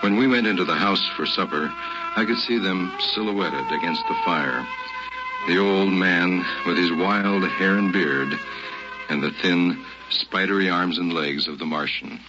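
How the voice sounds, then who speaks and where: A man's voice: low-pitched, a little slow. An actor playing a grown man soberly recalling his boyhood, as narrator of an old-time radio drama. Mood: sober, reflective. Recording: muffled old radio recording with loud music. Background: loud music.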